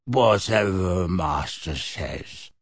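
A man voicing a bitter old male house-elf servant in a low, grumbling, resentful mutter. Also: lively intonation, very slow, no echo, clean studio sound, exaggerated voice. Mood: servile. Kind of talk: audiobook character voice.

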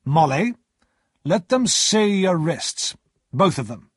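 A brusque, commanding lawyer from a storybook, acted out by a male narrator. He speaks sharply and deliberately, pitched up and swooping, with a husky edge. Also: very animated, dry recording, clean studio sound, exaggerated voice.

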